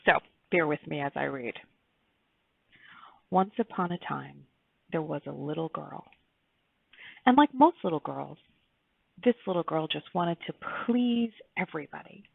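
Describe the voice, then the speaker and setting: A woman reading her own fairy tale aloud in an earnest, emphatic storybook style with dramatic pauses. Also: sits low, vocal fry, slightly rough. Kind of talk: expressive storybook read-aloud. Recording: tinny phone-like, roomy echo.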